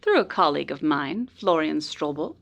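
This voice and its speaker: A woman playing a polite, earnest informant in a noir radio drama, speaking in a measured, precise way. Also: sits low, lively intonation, gravelly. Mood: earnest, serious. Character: polite, earnest female informant helping a detective.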